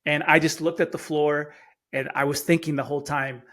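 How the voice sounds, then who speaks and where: A man's voice: a little high, little pitch movement, a little fast, a bit bright. A middle-aged man from Chile whose first language is Spanish, telling a personal story earnestly as a podcast guest, often repeating words as he starts phrases. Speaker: guest speaker. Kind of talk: storytelling in an interview.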